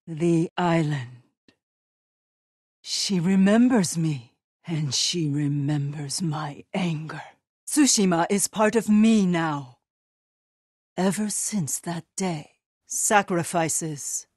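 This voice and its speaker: A woman's voice: lowish voice, slow, long pauses, darkish tone, breathy edge, dry recording, clean studio sound. An actress playing a vengeful demon witch and wronged mother in a video game, menacing and theatrical. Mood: brooding, menacing. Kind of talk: dramatic video game villain acting.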